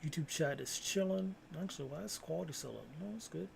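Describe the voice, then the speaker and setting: A young man hosts a casual livestream in a slightly high voice with some fry. He talks in slang and circles back over his phrases. Faint noise sits behind him. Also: fast. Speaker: live streamer reacting to videos.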